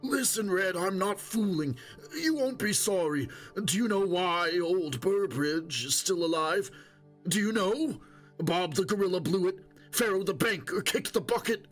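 A man voicing a wounded, wheedling old stalker in an audiobook, boastful and desperate, with a hoarse, strained, pleading voice. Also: high voice, expressive, unhurried, soft background music, voice pushed up. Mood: boastful, insistent. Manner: pleading, moaning, insistent.

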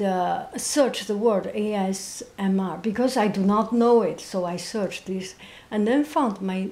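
A woman who is not a native English speaker, answering interview questions reflectively in a quiet, monotone voice with a gentle, halting manner. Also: medium pitch. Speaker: interviewee, painter and teacher.

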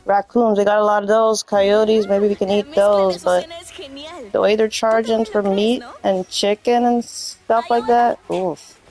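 A woman's voice: mid-range voice, little pitch movement, a little slow, a little mellow, soft background music. A young woman with a Canadian accent chatting casually and bluntly in slang while she plays a fighting game. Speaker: video game streamer. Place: indoor room, game music behind.

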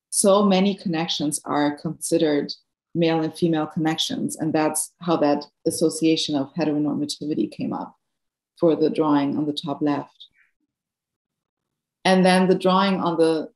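German-accented woman in her 30s, low voice, roomy echo, hesitant and reflective, guest artist answering a Q&A. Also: darkish tone. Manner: thoughtful, searching for words with many fillers and restarts. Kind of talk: Q&A after an art lecture.

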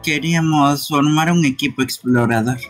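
A man's voice: on the high side, moderate pace, faint music behind, lightly acted. A man voicing a timid, earnest young furry creature in an amateur game dub, speaking hesitantly. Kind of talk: amateur live game dubbing.

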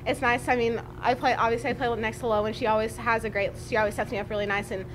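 A young adult woman rugby player giving short, modest answers at a press conference table mic. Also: quick pace. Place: press room at a draped table. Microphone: table mic on a small stand. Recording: steady background rumble.

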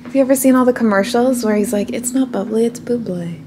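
A woman's voice: fairly high pitch, fast talker. A young adult woman vlogging in casual, chatty talk into a handheld camera at arm's length. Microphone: handheld camera or phone at arm's length. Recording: loud background noise on handheld camera.

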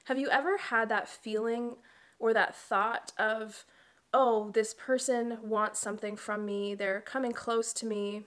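A woman's voice: a little high, steady tone, unhurried. Woman in her 30s, wellness host, a bit nasal from allergies, explanatory talk to camera at home. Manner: warm, explanatory, with chatty asides. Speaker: wellness coach and channel host. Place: home living room.

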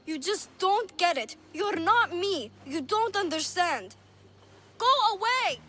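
A lonely orphan little girl from a video game, with a very high, childlike voice. She speaks slowly and earnestly, halting as she pleads. Faint ambient sound sits behind her. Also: animated, fairly bright tone, slight background noise, heavily acted. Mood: upset, pleading. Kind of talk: video game cutscene dialogue.

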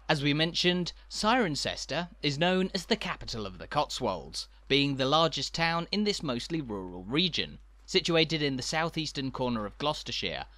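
A man narrating a walking tour in a measured, informative way. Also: on the high side, bright, forceful tone. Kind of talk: scripted narration.

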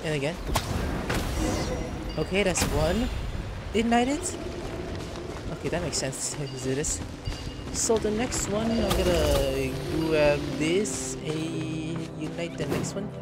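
A man giving casual gameplay commentary as a streamer, excitable and thinking aloud. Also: on the high side, slow pace, pauses often. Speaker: game streamer. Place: indoor room at a computer. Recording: loud game music behind.

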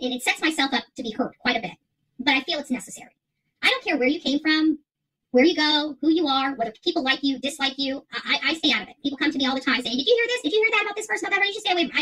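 High-voiced, fast-talking middle-aged woman. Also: bright, forceful tone, rough recording. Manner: emphatic, repetitive, rambling. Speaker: livestream creator and channel host. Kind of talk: casual livestream chat to viewers. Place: small furnished room at home, indoors.

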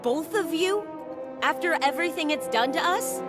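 Hurt, headstrong woman, swooping pitch. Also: animated, normal speed. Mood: incredulous, defensive. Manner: pointed, incredulous. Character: defensive, hurt younger sister, headstrong woman adventurer. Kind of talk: dramatic video game acting. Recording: game music underneath. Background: music.

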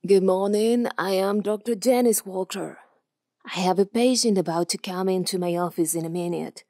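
A woman's voice: mid-range voice, moderate pace, warm tone, dry recording, studio quality, natural voice. A woman with an Argentine Spanish accent playing a chatty, scatterbrained doctor in a video game, speaking confidingly.

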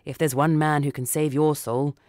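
A woman voicing a kindly old lady in a radio story, gentle and reassuring. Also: low voice, fairly even intonation, moderate pace, no room sound, studio quality, lightly acted. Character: kindly old woman with rheumy eyes, soothing.

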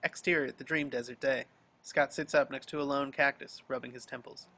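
A man's voice: mid-range voice, fairly even intonation, fairly quick, bright, forceful tone. A man reading scripted action descriptions as narrator of a table read over a video call.

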